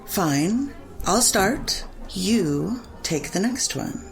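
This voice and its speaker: A man voicing a flirtatious woman in her thirties in a storybook reading, with a breathy, drawn-out delivery. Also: sits high, expressive, faint music behind, voice pushed up, full character voice. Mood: teasing.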